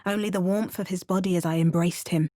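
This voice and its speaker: A woman narrating a fantasy video game in measured, scripted storytelling. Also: fairly low pitch, steady tone, mellow, no echo, studio quality, close to an everyday voice.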